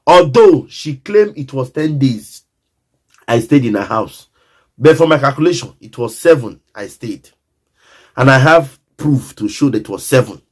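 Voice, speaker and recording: A man's voice: mid-range voice, expressive, a little slow. A Nigerian man telling a personal story in a casual monologue, emphatic and repetitive, often speaking straight to the listener.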